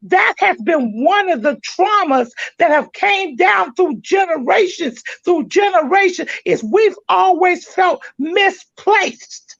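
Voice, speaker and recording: A woman hosting a church-minded talk show, speaking with fervent, preacher-like passion that climbs to shouted exhortation. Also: very high-pitched, expressive, takes it slowly, vocal fry, few pauses, dry recording. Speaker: podcast host. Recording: dry, dull lo-fi sound.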